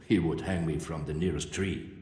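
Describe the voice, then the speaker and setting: An actor playing a cold, threatening captive in a video game cutscene. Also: male voice, very deep voice, fairly even intonation, moderate pace, darkish tone, roomy sound, long reverb tail. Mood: menacing. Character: menacing captive who served a noble house.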